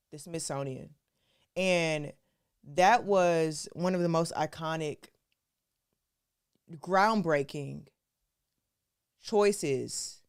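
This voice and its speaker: Smooth-voiced woman hosting a chatty live stream. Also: low voice. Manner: chatty, conversational, addresses viewers as y'all. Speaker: show host. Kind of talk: casual live-stream talk on art.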